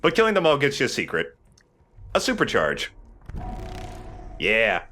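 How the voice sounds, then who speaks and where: A man's voice: mid-range voice, huge pitch swings, measured pace, bright, forceful tone, slight background noise. A man hosting a let's play with casual, joking, irreverent game commentary over game sound. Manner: irreverent, profane.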